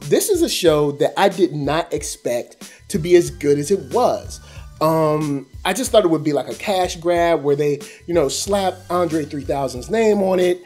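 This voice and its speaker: A man's voice: a little high, darkish tone. An American man hosting an animation review show, talking casually and in slang straight to his viewers. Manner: conversational, slangy, addressing viewers directly. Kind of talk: casual review commentary to camera.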